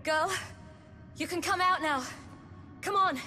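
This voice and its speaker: An actress playing a composed, brave young swordswoman in a video game, brisk and self-assured. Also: very high-pitched, sharp tone, heavily acted. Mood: resolute. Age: young adult. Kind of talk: dramatic video game acting. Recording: long reverb, music behind.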